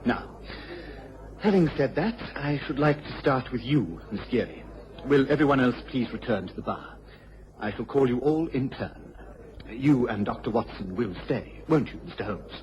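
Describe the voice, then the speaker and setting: A man's voice: medium pitch, animated, moderate pace, a little mellow, lightly acted. A man playing a firm, methodical police inspector in a radio drama, formal and precise. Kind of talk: BBC radio drama acting. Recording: dull old radio recording.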